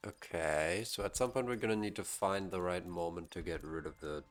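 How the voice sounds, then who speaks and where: A man's voice: low-pitched, moderate pace, soft background music. A man streaming a game live, chatting casually to his viewers and musing aloud to himself. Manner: talks to chat and muses aloud to himself.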